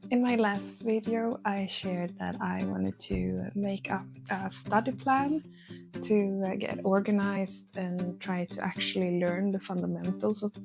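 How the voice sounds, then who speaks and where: A woman's voice: medium pitch, fairly even intonation, a little slow, vocal fry. A young woman with a Swedish accent, vlogging about her art, hesitant, with many fillers. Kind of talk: casual vlog talk to camera. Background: soft guitar music.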